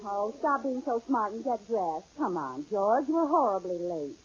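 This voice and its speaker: A woman playing a flustered, dawdling housewife with quick exasperated retorts in a 1940s radio comedy. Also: medium pitch, animated, slightly rough. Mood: indignant. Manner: exasperated, playfully argumentative. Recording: dull old radio recording.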